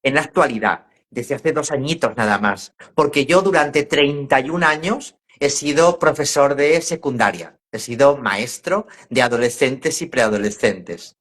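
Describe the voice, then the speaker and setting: A man's voice: medium pitch, a little slow, few pauses, fairly bright tone. A man in his fifties from La Mancha, a former teacher, talking reflectively as a guest in a casual video-call interview. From La Mancha, Spain. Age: 50s. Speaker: guest, educator and trainer.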